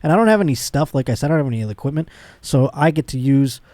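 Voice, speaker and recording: A man's voice: medium pitch, animated, very fast, darkish tone, dry recording. A man in his late thirties hosting a casual comedic podcast, talking in a blunt, slangy way with some swearing. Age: late 30s. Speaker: talk show host.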